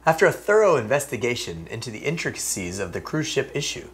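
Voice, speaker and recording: A male co-anchor in his thirties delivers parody headlines with earnest, mock-serious gravity. His pitch is animated and rises and falls a lot. He is recorded on a lapel mic in a small studio. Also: fast. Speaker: comedy news co-anchor. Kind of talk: mock news broadcast.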